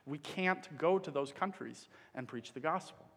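A man from the Dominican Republic preaching a sermon in an earnest, measured way, pausing with fillers. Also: on the high side, gravelly, a bit bright. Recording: long hall reverb.

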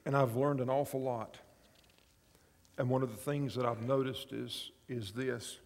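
Man, fifties, pastor, sermon, gravelly voice, earnest storytelling, long hall reverb. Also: mid-range voice, steady tone, a little slow, a little mellow. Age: 50s. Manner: earnest storytelling, asks the congregation along. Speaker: pastor and chaplain.

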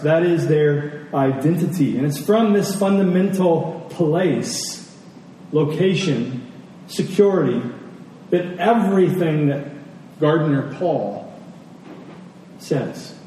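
A man preaching a sermon as a pastor, repeating key phrases deliberately for emphasis and posing rhetorical questions. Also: on the high side, dark tone. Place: large reverberant room, church service. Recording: very echoey hall, distant mic, faint noise.

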